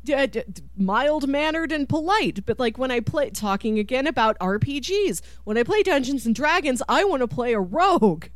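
A woman chatting casually as a podcast co-host about media, animated, often acting out imagined reactions and laughing through her words. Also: sits high, moderate pace, hardly any pauses, no echo. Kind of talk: casual film discussion.

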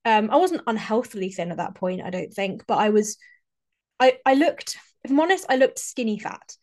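A woman's voice: a little high, lively intonation, moderate pace, darkish tone. A woman, hosting a solo podcast in a chatty, enthusiastic way while a little congested from a cold. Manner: chatty, enthusiastic, repeats and restarts phrases. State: slightly congested, recovering from a cold. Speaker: podcast host and fitness coach.

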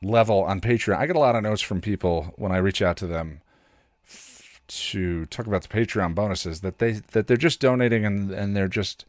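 Man, lower-pitched, casual podcast chat, rambling, thinking aloud, close dry recording. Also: no room sound. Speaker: podcast host and content creator.

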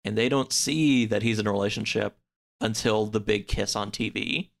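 A man with a lowish, gravelly voice full of fry talks casually, as a podcast guest would. He rambles through a story, drops "like" in often, and slips into quoting the characters as he goes. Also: moderate pace. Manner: rambling, peppered with "like"; acts out characters' lines in quotes. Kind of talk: casual chat, retelling a story.

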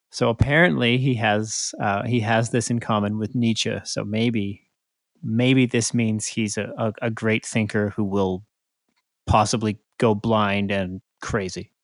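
A man co-hosting a podcast in casual conversation, talking in a halting way and often correcting himself. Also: fairly low pitch, no echo. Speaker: podcast co-host. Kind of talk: casual chat.

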